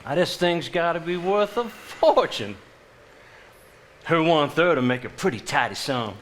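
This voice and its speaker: High, blustering, greedy actor as a man. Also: lively intonation, measured pace, a little hiss or hum. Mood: demanding. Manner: loud, blustering, insistent. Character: greedy, pushy, self-righteous man demanding his rights. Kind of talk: live stage drama acting.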